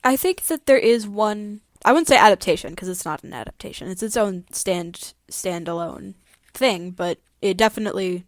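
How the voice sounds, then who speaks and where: Female podcast co-host, medium pitch, casual story discussion, dry studio-like sound with no room echo. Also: lively intonation, moderate pace.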